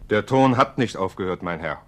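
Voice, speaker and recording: A man's voice: on the low side, lively intonation, normal speed, close to an everyday voice. A man playing a stern, weary prophet of the streets in a German radio play, speaking curtly and solemnly in maxims. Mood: solemn conviction. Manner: solemn, curt, sententious.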